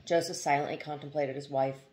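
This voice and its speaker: A woman's voice: low voice, fairly even intonation, fairly quick, a little mellow. A woman narrating a novel as an audiobook reader, in a literary storytelling style.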